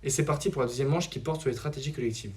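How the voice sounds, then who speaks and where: Brisk young man hosting a quiz. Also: mid-range voice, a little mellow. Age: young adult. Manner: reads questions and explanations in a brisk announcer tone. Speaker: quiz show host. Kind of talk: scripted quiz-show hosting.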